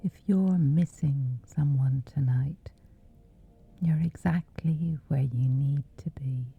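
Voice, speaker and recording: A woman guides a sleep meditation in a soft, low whisper, lingering unhurriedly over every phrase. Her voice comes through thin and narrow, with gentle music playing faintly underneath. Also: vocal fry, breathy edge. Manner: slow gentle soothing delivery.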